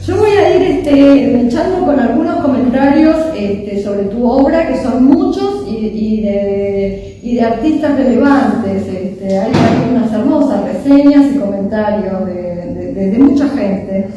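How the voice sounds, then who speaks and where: A woman's voice: on the high side, expressive, measured pace, few pauses. Argentine woman interviewer, dull distant sound. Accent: Argentine Spanish. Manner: hesitant, lots of "este" fillers, warmly curious. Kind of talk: conversational interview. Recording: echoey, distant and dull with faint noise.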